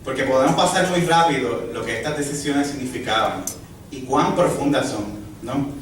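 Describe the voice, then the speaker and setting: Puerto Rican male preacher, mid-pitched, mic set back in a reverberant church with a faint hum behind. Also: normal speed. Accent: Puerto Rican Spanish. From Puerto Rico. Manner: rhetorical questions, lively emphasis. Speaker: pastor. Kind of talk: sermon. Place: church hall. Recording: echoey hall, distant mic, faint ambience.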